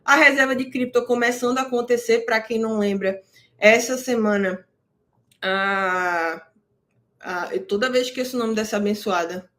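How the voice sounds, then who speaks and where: A woman with a somewhat high voice gives an easygoing market analysis as the host of her own channel. She breaks down financial jargon in plain terms. She keeps checking in with her listeners as she goes. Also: normal speed, fairly bright tone. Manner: conversational, checks in with listeners often; explains terms plainly for beginners. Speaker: crypto market commentator. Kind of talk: casual market analysis to camera.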